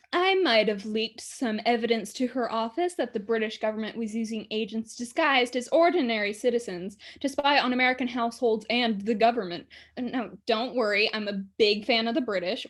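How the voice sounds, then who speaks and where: A woman's voice: fairly high pitch, natural voice. A woman playing a sardonic, gloating demon who delivers a theatrical monologue in a conversational, mocking way. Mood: gleeful, sarcastic. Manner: sardonic, gloating, conversational, given to chuckling asides. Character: gleeful, mocking female demon, a scheming devil monologist. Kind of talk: theatrical monologue addressed to the audience.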